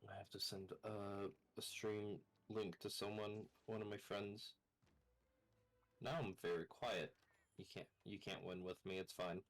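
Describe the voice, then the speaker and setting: A man with a bassy voice talking over voice chat on his own mic, bantering with a teasing, deadpan humor. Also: a little slow. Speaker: friend on voice chat. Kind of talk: casual banter. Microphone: own microphone over voice chat.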